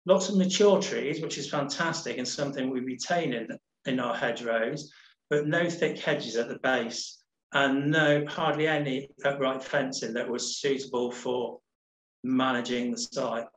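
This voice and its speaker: A man's voice: medium pitch, unhurried. Man, middle-aged, rambling, restarts a lot, roomy sound. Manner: relaxed, rambling, many restarts and ums. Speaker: nature reserves manager. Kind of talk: casual presentation over slides. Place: home room on a video call.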